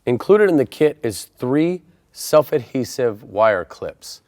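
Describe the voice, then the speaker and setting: A man's voice: mid-range voice, big pitch swings, slow, darkish tone. A man presenting an installation tutorial in a methodical, plainspoken way, explaining each step in turn. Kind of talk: step-by-step instructional narration.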